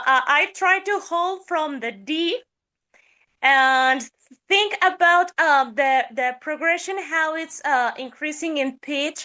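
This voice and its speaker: Woman soprano, high voice, very slow, bright, hesitant and thoughtful. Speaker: soprano singer. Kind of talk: podcast discussion of singing technique.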